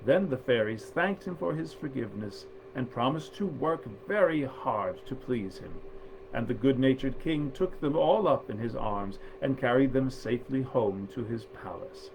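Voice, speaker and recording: A man's voice: mid-range voice, lively intonation, normal speed, vocal fry, darkish tone. Man reading old storybook tales, noisy background. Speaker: actor reading stories aloud. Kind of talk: scripted storytelling of 19th-century fairy tales.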